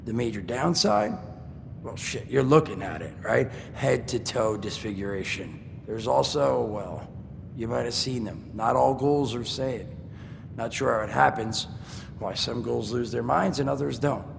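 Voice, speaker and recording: An actor playing a wisecracking male ghoul trader in a video game, talking in a joking, streetwise drawl with a gravelly voice. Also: mid-range voice, a little slow. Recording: game audio with long reverb and noise.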